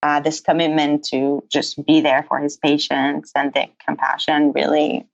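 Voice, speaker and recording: Guest voice: young Israeli-raised woman, earnest and chatty, low with vocal fry, dry dull lo-fi sound. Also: little pitch movement, measured pace, no echo. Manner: earnest, many fillers, frequent laughter. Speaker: guest, incoming medical student. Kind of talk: casual interview answers.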